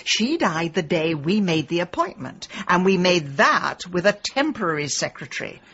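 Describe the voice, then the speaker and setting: Brisk, tart-tongued British woman sleuth. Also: on the low side, very animated, a bit bright, slightly rough, lo-fi sound, full character voice. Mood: excited, insistent. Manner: blunt, sardonic, emphatic. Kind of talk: radio comedy drama acting.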